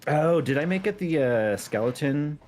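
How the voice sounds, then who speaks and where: A man's voice: a little high, expressive, normal speed, a little mellow, slight background noise. A man chatting casually as a guest, self-deprecating and wry about his own work. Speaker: guest, amateur game modder. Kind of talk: casual interview chat.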